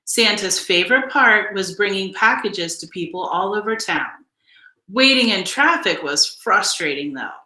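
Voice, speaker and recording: A woman reading a children's picture book aloud in an expressive, deliberate storytelling style. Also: mid-range voice, echoey room, rough recording. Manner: measured, deliberate storytelling delivery with emphasized phrases. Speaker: storybook reader.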